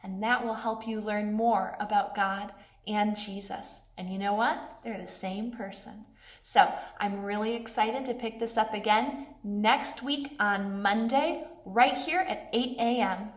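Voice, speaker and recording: A woman's voice: mid-range voice, measured pace. A woman doing a read-aloud for young children, speaking in simple phrases aimed straight at little listeners. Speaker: church pastor reading a children's Bible story aloud. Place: indoors in a classroom. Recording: echoey classroom.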